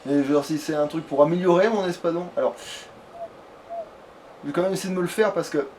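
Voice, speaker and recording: Male gamer, slightly high voice, sweary and grumbling, casual play-along chat over audible background noise. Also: quick pace, darkish tone. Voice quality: foul-mouthed, colloquial speech. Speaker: let's play gamer at the controls. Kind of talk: casual gameplay chat, thinking aloud. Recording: noisy home recording, clear background noise.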